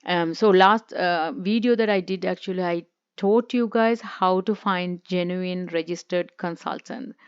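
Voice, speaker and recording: A Sri woman hosting an advice vlog in a low, smooth, resonant voice. She talks earnestly and straight to the viewer, with many fillers and restarts. The sound is dry and a bit dull and lo-fi. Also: unhurried, dry recording. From Sri Lanka. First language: Sinhala. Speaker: YouTube host, former immigration consultant. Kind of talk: casual talking-to-camera vlog.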